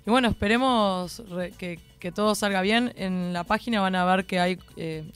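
A young Uruguayan woman chats easily as a radio guest, stopping to restart her phrases and filling gaps as she goes. Her voice is smooth and clear. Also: medium pitch, normal speed, faint music behind. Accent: Uruguayan Spanish. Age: young adult. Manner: relaxed, chatty, with fillers and restarts. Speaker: rally driver guest. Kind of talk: radio interview.

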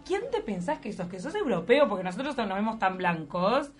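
A woman's voice: mid-range voice, brisk, faint music behind. A woman with a Uruguayan accent, telling stories animatedly in a casual panel discussion. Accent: Uruguayan Spanish. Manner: indignant, animated storytelling.

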